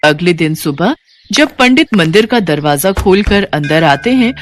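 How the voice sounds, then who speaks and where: A woman's voice: on the low side, lively intonation, unhurried, faint music behind. A woman storyteller giving scripted narration of a devotional moral tale.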